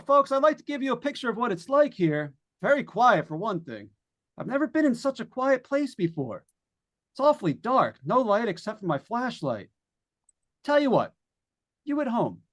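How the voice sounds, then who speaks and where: Jittery vintage-radio announcer in a haunted house, acted: folksy, stammering, coming apart, widely varied intonation. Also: medium pitch. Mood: hushed, uneasy. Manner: folksy, stammering, addressing listeners at home. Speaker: radio drama actor. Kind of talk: old-time radio suspense acting.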